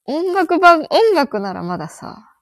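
A woman's voice: high-pitched, very animated, a little slow. A woman in her thirties chatting casually as a podcast co-host. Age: 30s. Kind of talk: casual chat.

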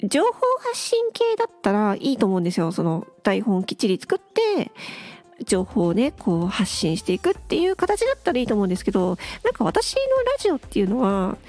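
A woman's voice: medium pitch, huge pitch swings, fairly quick, a little mellow, soft background music. A woman hosting an unscripted solo audio show, murmuring casually as if to herself, close to the mic. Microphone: close to the microphone.

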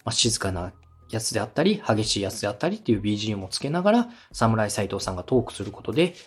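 A man hosting a podcast, politely and methodically giving encouraging production advice in a slightly husky voice. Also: fairly low pitch, sing-song, very fast. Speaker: podcast host and radio director giving feedback.

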